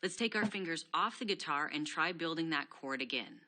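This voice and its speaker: A woman giving a scripted guitar lesson, explaining steps clearly and steadily. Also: sits low, lively intonation, fairly quick, pushed and bright. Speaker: guitar instructor.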